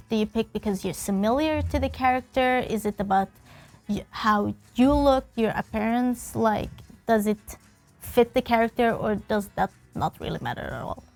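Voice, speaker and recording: A woman hosting a casual panel chat, firing off questions in quick lists with fillers. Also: a little high. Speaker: host.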